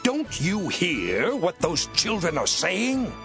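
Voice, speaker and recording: An actor playing a suspicious, challenging male chief priest with a husky voice in a scripture drama. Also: sits high, huge pitch swings, takes it slowly, music in the background, heavily acted. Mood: indignant.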